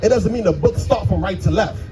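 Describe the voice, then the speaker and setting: Insistent, high-voiced man in a street debate. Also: brisk, over music. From Trinidad, Caribbean. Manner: insistent, challenging, rhetorical questions. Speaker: street preacher questioning a passerby. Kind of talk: street debate, rapid questioning.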